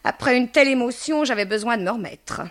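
Woman putting on a shrill, gossipy old-fashioned landlady. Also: animated, fast. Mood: bossy. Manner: shrill, chattering, exclamatory. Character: gossipy, limping, one-eyed middle-aged landlady, effusive and melodramatic. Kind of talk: dramatic literary reading.

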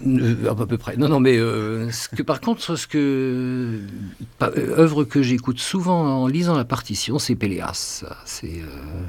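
A man's voice: medium pitch, lively intonation, measured pace, gravelly, a little mellow. A middle-aged man, a singer being interviewed on radio, talking in a hesitant way with many restarts and fillers. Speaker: guest singer.

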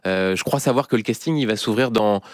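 Low-voiced male radio interviewer, dry studio sound. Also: fairly quick, no room sound. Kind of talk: live morning radio interview. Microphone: close studio microphone.

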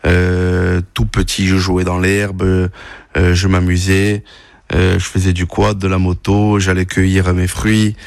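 A man's voice: deep voice, completely flat delivery, measured pace, vocal fry, no room sound. A young man from Corsica, a farmer, answering radio interview questions in a hesitant way with many fillers. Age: young adult.

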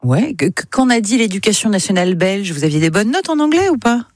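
A woman's voice: mid-range voice, big pitch swings, quick pace, darkish tone, no echo. A woman hosting a radio show, interviewing a guest in a studio in a playful, teasing way. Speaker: radio host.

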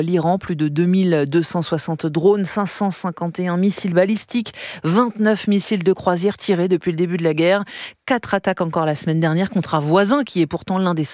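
A woman presenting the radio news, reading scripted introductions in a crisp, measured way. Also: fairly low pitch, a little mellow, dry recording. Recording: dry studio.

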